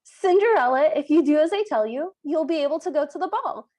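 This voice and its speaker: A bossy cartoon fairy godmother, as a woman podcaster puts her on. She speaks high and briskly, giving orders with no patience for questions. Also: expressive, a little mellow.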